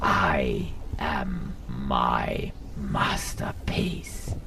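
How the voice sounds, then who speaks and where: A man voicing a scheming ancient male Sith lord in a mask, sly and theatrical, slipping into breathy whispers. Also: rumbling low, lively intonation, very slow, pushed and bright, recorded from a distance, faint music behind, voice pushed down, heavily acted. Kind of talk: acted quotes in a retold comic story.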